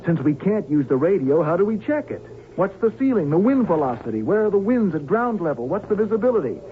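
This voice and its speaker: An actor playing a wary, hard-headed pilot in an old radio drama, terse and sardonic. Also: male voice, high voice, very animated, fast. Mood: pressing, skeptical. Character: wary, hard-headed airline captain held by hijackers. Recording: tinny band-limited old radio recording, clear noise.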